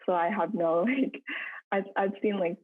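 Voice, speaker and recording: A woman's voice: medium pitch, normal speed, breathy edge. A young woman, a podcast co-host born in Hong Kong and raised in the United States, chatting casually with lots of fillers and little laughs. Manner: laughs between phrases, many fillers. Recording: tinny phone-like line.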